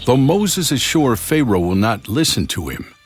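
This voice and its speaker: A man narrating a scripted children's story in a dramatic, expressive way. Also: mid-range voice, normal speed. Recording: loud music behind the voice. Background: music.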